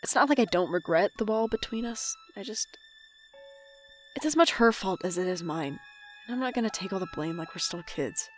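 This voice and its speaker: A woman's voice: mid-range voice, animated, normal speed, faint music behind, natural voice. A woman playing a guarded, wry young adult who is estranged from family, talking and narrating introspectively in an audio drama. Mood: reflective. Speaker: main character and narrator.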